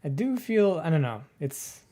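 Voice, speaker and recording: A man's voice: on the high side, very animated, moderate pace, dark tone. A man streaming a game, reacting and chatting casually during a let's play.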